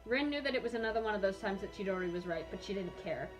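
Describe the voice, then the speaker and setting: A woman's voice: medium pitch, animated, very fast, soft background music. A young adult woman hosting a dramatic reading, self-mocking and breaking into amused asides and laughter. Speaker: host reading own old fanfiction.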